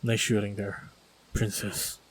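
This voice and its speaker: A man playing a calm, modest human swordsman and loyal companion in a fantasy action audio roleplay, speaking in measured, low-key short phrases. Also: a little hiss or hum, low-quality recording. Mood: calm, reflective.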